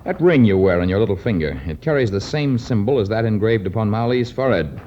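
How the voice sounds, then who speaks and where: A man acts a grave and watchful jungle adventurer in an old radio serial. His voice sits low and his speech is formal and blunt. The recording is dull, with noise behind it. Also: expressive, quick pace, mellow, lightly acted. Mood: grim, accusing. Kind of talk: 1950s radio adventure acting.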